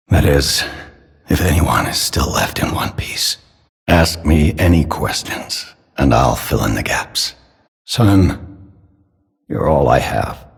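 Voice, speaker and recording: An actor playing a grave, suspicious elderly father in a video game, husky and measured, speaking solemnly with paternal concern. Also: deep voice, heavily acted. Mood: somber, then sorrowful, pleading. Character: grave, suspicious elderly father, doting yet quietly manipulative. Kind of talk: scripted video game character dialogue. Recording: long cavernous reverb.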